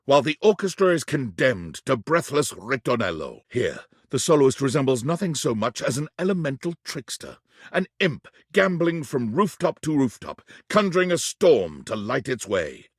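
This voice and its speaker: Learned game narrator, theatrical male voice, very animated intonation, florid scripted delivery, close dry sound. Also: medium pitch, normal speed, husky, no room sound. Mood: grandiloquent, dramatic. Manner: erudite, theatrical, florid, precisely worded.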